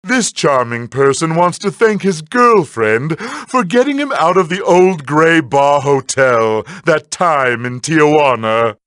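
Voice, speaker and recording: An actor playing a sardonic, unhinged villain in a cartoon video game, with a theatrical, sarcastic delivery. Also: male voice, fairly high pitch, animated, slow, hardly any pauses, fairly bright tone, dry recording, clean studio sound. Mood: sardonic, dry. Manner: theatrical, sarcastic, swinging to outbursts.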